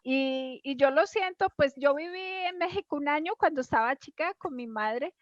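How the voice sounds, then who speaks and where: High-voiced Colombian woman, dull audio. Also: moderate pace, no echo. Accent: Colombian, Cali area. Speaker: host. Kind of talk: casual conversational hosting.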